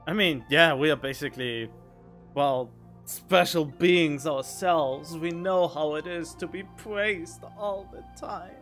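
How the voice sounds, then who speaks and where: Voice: mid-range voice, slow, fairly bright tone, faint music behind. A game streamer giving casual commentary while playing, speaking into a studio microphone. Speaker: streamer, let's player. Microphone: studio condenser microphone.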